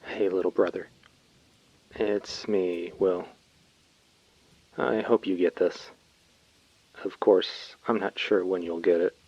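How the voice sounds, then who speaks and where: A man's voice: low-pitched, fairly even intonation, slow pace, long pauses, a little mellow, dry recording, exaggerated voice. An actor playing a colony labourer in an audio drama, telling his story informally in a message to his brother. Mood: affectionate, confiding. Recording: dry, slightly dull close recording.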